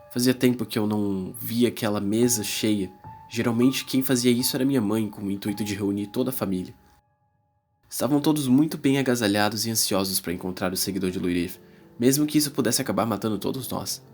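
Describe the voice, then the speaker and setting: A man's voice: lowish voice, natural voice. A man with a Brazilian accent playing an anxious yet eager young man narrating his adventure in a dramatized audiobook.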